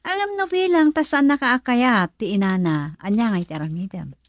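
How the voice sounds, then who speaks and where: An actress with a northern Philippine accent playing a frank, supportive young best friend in a radio drama, animated and questioning. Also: female voice, a little high, moderate pace, close to an everyday voice. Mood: frank, curious. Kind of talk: Ilocano radio drama acting.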